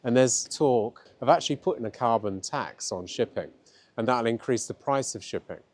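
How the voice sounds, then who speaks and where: A man's voice: mid-range voice, expressive, normal speed, darkish tone. A man campaigner explaining things informally in an interview to camera.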